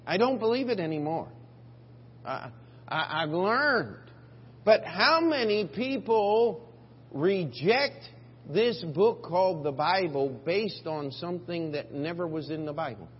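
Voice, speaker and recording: High-voiced slow-preaching man pastor. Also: lively intonation, slightly rough. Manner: emphatic, rising on scripture, dropping low for asides. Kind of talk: preaching a sermon. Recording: dull lo-fi church recording with hall reverb and faint hum.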